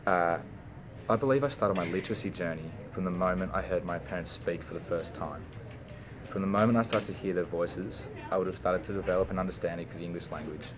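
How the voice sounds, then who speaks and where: A man's voice: low-pitched, fast talker, crowd noise. A young Australian man, a university student, reading a prepared personal speech with small slips and restarts. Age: young adult.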